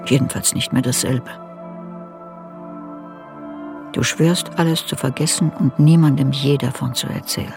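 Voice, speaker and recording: An actress playing a reflective woman writer in a radio play, recalling her girlhood in a sober, deliberate, introspective way. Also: rumbling low, fairly even intonation, gravelly, a little mellow, soft background music. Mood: stark, haunted. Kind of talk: literary radio play narration.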